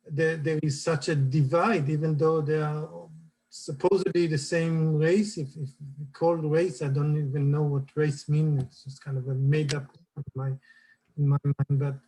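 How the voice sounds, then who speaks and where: Panelist: Israeli-accented man, slow and halting, flat mellow delivery. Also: a little high, low-quality recording. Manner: hesitant, searching for words. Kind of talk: personal account on a panel.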